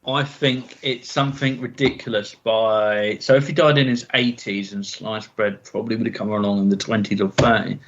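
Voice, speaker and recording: A man's voice: on the low side, fairly even intonation, normal speed, few pauses, dull audio, a little hiss or hum. A man co-hosting a comedy podcast, answering quiz questions with jokes and laughs.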